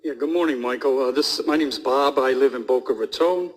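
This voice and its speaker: Audience man, husky, polite and plain. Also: medium pitch, fairly quick, rough recording. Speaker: audience member asking a question.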